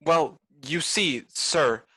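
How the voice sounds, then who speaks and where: A woman's voice: deep voice, very slow, fairly bright tone, husky, dry recording, full character voice. A woman playing a proud, deferential male butler in a 1930s-style radio play, pleading melodramatically. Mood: flustered, deferential.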